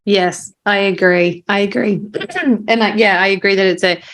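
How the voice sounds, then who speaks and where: Thoughtful woman interviewing, medium pitch. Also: fairly even intonation, normal speed, fairly bright tone. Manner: thoughtful, agreeing, feels out her questions. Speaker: podcast host, interviewer. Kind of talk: conversational interviewing.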